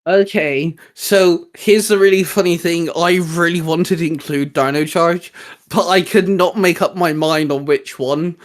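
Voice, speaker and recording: A man with a slightly high voice hosts a relaxed, geeky chat. He talks in a loose, rambling way as he explains his reasoning. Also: a little slow. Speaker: host. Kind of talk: casual geeky discussion.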